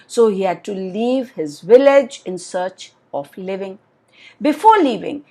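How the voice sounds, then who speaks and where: A woman hosts a children's story session. Her delivery is highly animated, with pitch that swings widely, and she addresses her young listeners directly in an expressive bedtime-story style. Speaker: children's storyteller and channel host.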